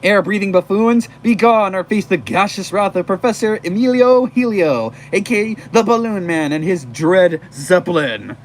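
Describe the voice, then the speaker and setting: A man voicing a pompous, squeaky supervillain professor in a theatrical comic-book style, on a noisy recording. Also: lively intonation, moderate pace, few pauses. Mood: grandiose threat. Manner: grandiose, theatrical.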